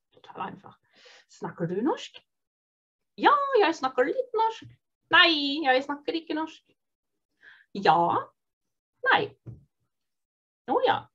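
A woman teaching an online beginner Norwegian course, cheerful and encouraging, modelling phrases slowly and clearly. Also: a little high, highs cut off. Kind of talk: online beginner language lesson.

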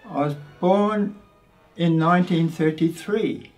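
Slow-speaking Australian man in his eighties. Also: fairly high pitch, soft background music, rough recording. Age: 80s. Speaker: local resident interviewee. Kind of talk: oral history reminiscence.

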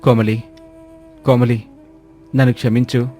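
Casting: man as apologetic young husband, mellow voice, pleading and earnest, animated moral tale. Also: medium pitch, a little slow, soft background music, natural voice. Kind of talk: dramatized animated moral story.

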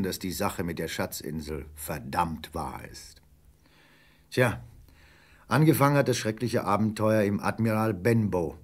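An actor playing a salty storyteller with a raspy voice, narrating a German radio play dramatically. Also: male voice, lowish voice. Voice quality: husky, raspy. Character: salty storyteller spinning a sea yarn.

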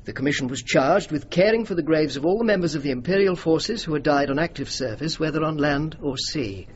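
An actor playing a courteous, determined senior British officer with an English accent, speaking formally and deliberately in a radio drama. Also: male voice, fairly high pitch, lively intonation, slightly rough. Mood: measured, resolute. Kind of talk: radio drama narration and dialogue. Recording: slightly muffled radio recording, background noise.

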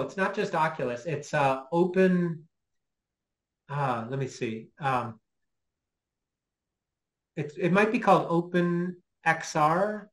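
A man in his fifties explaining informally as a lecturer on a video call from a small home office, halting and thinking aloud. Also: a little high, poor audio. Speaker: guest lecturer. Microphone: computer mic on a video call.

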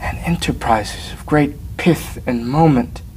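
A man performing a Shakespearean soliloquy as a brooding, anguished young prince, hushed and deliberate, with weighty pauses. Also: medium pitch, close to an everyday voice, low-quality recording. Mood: grave, pensive. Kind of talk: Shakespearean stage monologue. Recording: faint background room noise.

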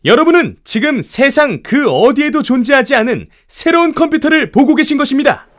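A man's voice: very high-pitched, expressive, brisk, fairly bright tone. An actor playing a confident, ambitious young male inventor in a children's audio storybook, earnest and emphatic. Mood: proud presenting.

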